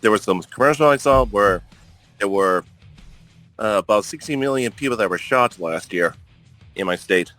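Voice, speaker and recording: A man's voice: fairly low pitch, lively intonation, moderate pace, pauses often, fairly bright tone, soft background music. A man from Minnesota speaking hesitantly as a guest in a casual chat. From Minnesota, USA. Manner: hesitant, lots of uh. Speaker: podcast guest. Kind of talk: casual political discussion. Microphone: call recorder.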